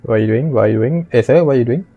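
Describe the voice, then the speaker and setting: A man's voice: medium pitch, racing, vocal fry, dull audio. A man streaming a game with casual commentary, reading prompts aloud and often repeating words. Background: game music.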